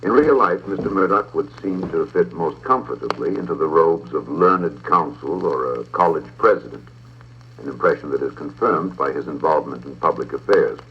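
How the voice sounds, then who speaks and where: A man, middle-aged, narrating a script in a measured, formal way with precise diction, on an old talking-book recording. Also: a bass voice, lo-fi sound, slight background noise. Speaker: audiobook narrator and presenter.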